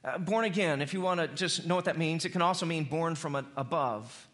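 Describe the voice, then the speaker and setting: A man preaching a conversational sermon as an animated storyteller, repeating phrases for emphasis. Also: a little high, brisk. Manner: animated storyteller who acts out dialogue in slang.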